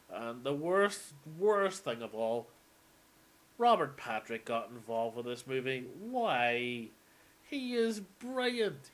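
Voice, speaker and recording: A man's voice: medium pitch, fairly bright tone. Opinionated man rambling off the cuff. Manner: opinionated, sweary, emphatic. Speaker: solo host of an audio log on games and films. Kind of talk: unscripted rambling casual rant.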